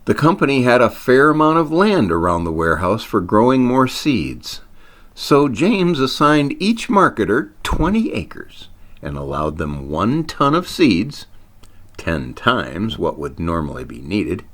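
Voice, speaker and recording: A man's voice: lowish voice, sing-song, a little slow, fairly bright tone. A man reading a scripted story aloud as its narrator, with theatrical emphasis on wordplay and punchlines. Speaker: author reading own short story.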